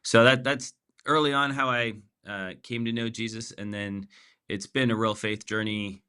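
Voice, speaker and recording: A man's voice: mid-range voice, steady tone, moderate pace, bright, forceful tone, smooth. A man in his forties from Pakistan, a podcast guest talking casually with many fillers and restarts. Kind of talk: casual interview chat.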